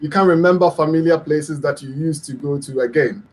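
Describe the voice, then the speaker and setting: Middle-aged guy from ghana, expert guest on radio, kinda high voice, earnest, lots of ums. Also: fairly quick. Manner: earnest, explanatory, frequent ums and repetitions. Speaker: guest expert, public health lecturer. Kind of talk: radio health interview, explaining.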